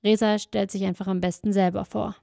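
A woman's voice: medium pitch, little pitch movement, a little fast, vocal fry, mellow, no echo. A young woman with a German accent hosting a podcast in informal, colloquial language, introducing and interviewing.

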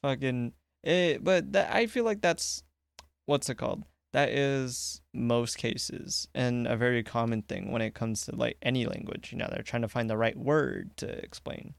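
Easygoing young man, smooth dry voice. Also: mid-range voice, normal speed, no echo. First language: English. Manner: relaxed, reassuring, laughs easily. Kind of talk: casual chat.

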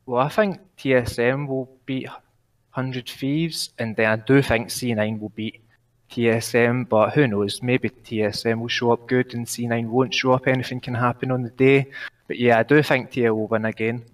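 A man's voice: mid-range voice, steady tone, recorded from a distance. Nervous Glaswegian man calling in. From Glasgow, Scotland. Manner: fast talker trying to slow down and pronounce clearly. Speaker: caller. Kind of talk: call-in esports prediction.

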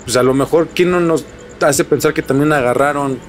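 A man with a Mexican accent explaining things as a podcast host, repeating phrases for emphasis and pausing to find words. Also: a little high, slight background noise.

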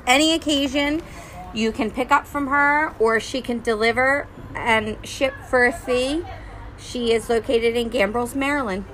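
A woman's voice: sits high, a bit bright, poor audio. A woman co-hosting a casual podcast, joking and teasing her partner as they chat. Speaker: podcast co-host.

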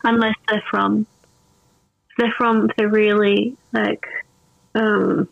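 A woman's voice: mid-range voice, little pitch movement, takes it slowly, vocal fry, dry recording. Australian woman on a dull, lo-fi line. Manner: hesitant, laughs often. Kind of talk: casual chat.

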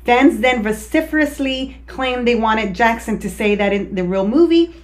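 A woman with a lisp chats on a podcast, swearing casually and breaking into laughter. Her voice sits a little high. She talks loosely, with restarts and asides to her listeners. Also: lively intonation, moderate pace. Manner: laughs often, swears freely. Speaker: podcast co-host. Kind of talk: casual chat.

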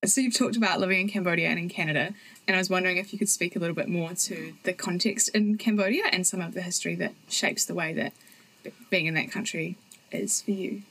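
Woman podcast co-host, lowish husky voice, gentle questioning. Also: steady tone, quick pace, poor audio. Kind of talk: interview question.

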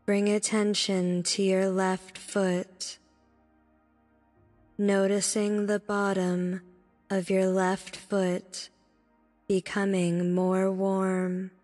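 A woman narrating a guided meditation in a soothing, deliberate manner, speaking in short instructive phrases. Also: medium pitch, monotone, darkish tone. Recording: long reverb with background music. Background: music.

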